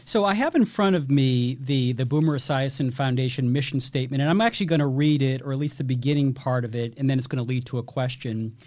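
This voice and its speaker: A man's voice: mid-range voice, fast, hardly any pauses, darkish tone. A man hosting an interview podcast who asks questions and reads a sponsor message in a polished, enthusiastic, formal way. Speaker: podcast host.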